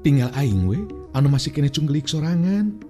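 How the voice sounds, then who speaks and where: A man's voice: a little high, very animated, a little fast, over music, pitched above the performer's own voice. A man voicing an uneasy young family man, the hero of an audio story, in a musing, questioning manner. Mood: puzzled, musing.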